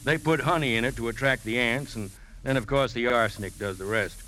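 An actor playing a chatty, folksy store clerk in a 1950s radio drama, colloquial and helpful. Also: male voice, mid-range voice, brisk, sharp tone, lightly acted. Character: chatty, folksy garden-supply shop clerk. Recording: faintly noisy 1950s radio recording.

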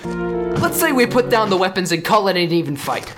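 A man's voice: sits high, sing-song, moderate pace, music in the background. A man playing a taunting, calculating murderer in a radio play, smug and menacing as he goads his opponent. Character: taunting, calculating male killer villain. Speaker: actor in an audio drama. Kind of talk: radio theater acting.

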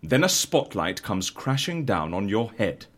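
An actor with a British accent playing an eccentric, genial agency fixer in a scripted audio comedy, coaxing and wry. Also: male voice, on the low side, sing-song, measured pace, fairly bright tone, full character voice. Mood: brisk, pleased. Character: eccentric, genial male agency fixer, cocoa-loving and breezy.

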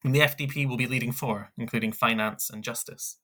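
A man co-hosting a scripted news roundup, reading headlines in a measured way with small asides. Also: medium pitch, fairly even intonation, a bit bright. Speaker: news podcast co-host.

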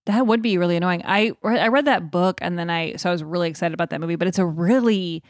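A woman's voice: on the low side, fast, no room sound, clean studio sound. A woman co-hosting a podcast, talking in a casual, conversational way. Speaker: podcast co-host. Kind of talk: casual chat.

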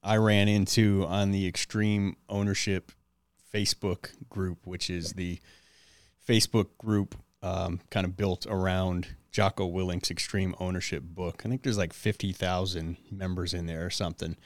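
Male podcast host, very deep voice, smooth and clear, dry close sound, casual rambling. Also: normal speed, dry recording. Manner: relaxed, rambling, fillers like "like" and "um". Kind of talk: casual chat.

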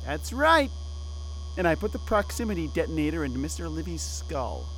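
An actor playing a sardonic, defiant rebel scientist in an audio drama, speaking in terse, coolly menacing lines. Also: male voice, a little high, sing-song, moderate pace, fairly bright tone, slight background noise, poor audio. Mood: smug, sardonic.

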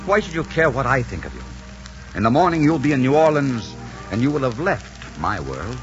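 Man acting a cocky smooth pirate boss, big swooping delivery, old muffled 1940s radio with music behind. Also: mid-range voice, very animated, fairly quick, close to an everyday voice. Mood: cool, dismissive. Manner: suave, arrogant, theatrical. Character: swaggering, cynical pirate leader posing as respectable gentleman.

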